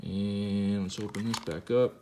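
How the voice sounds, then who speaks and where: A man's voice: fairly low pitch, steady tone, unhurried. A man giving an informal hands-on gadget demonstration, half talking to himself as he works. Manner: informal, talks to himself while working. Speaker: video presenter demonstrating a gadget. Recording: clear background noise, handling clicks.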